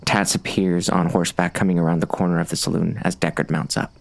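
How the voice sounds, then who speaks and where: An actor playing a wry, brooding bounty hunter narrating a western audiobook in a gravelly voice. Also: male voice, low voice, flat delivery, fast talker. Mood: wry, watchful.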